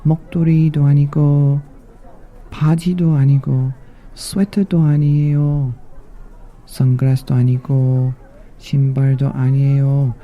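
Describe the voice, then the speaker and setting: A man voicing a little lost female mouse in a bedtime story, polite and anxious, speaking in quick, repeated short phrases. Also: mid-range voice, little pitch movement, lo-fi sound, natural voice. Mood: frustrated, insistent.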